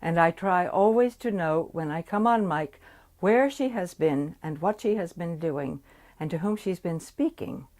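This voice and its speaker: A woman voice actor speaking in an interview in a thoughtful, deliberate way. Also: fairly low pitch, darkish tone. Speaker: audiobook voice actor. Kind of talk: documentary interview.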